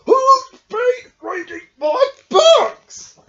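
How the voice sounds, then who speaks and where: A man's voice: very high-pitched, very animated, drawn-out words, sharp tone, pitched above the performer's own voice, exaggerated voice. A man voicing a grumpy big male bear in a storybook, blustering and grumbling, in a dull lo-fi recording.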